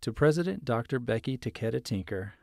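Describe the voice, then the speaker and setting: A man's voice: mid-range voice, expressive, brisk, gravelly. A man formally reading a prepared commencement speech aloud. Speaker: staff member reading a student's speech.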